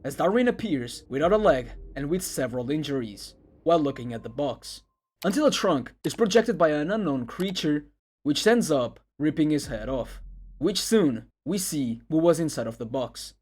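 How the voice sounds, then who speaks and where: Man narrating with lively, swinging pitch. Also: moderate pace, breathy edge. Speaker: video essay narrator. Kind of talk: scripted narration about internet horror videos. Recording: music under the voice. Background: background music.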